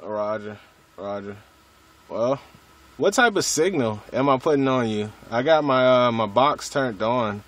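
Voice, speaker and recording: A man's voice: mid-range voice, lively intonation, unhurried, fairly bright tone. An American man chatting casually as a radio hobbyist, in a laid-back, slangy way with some swearing.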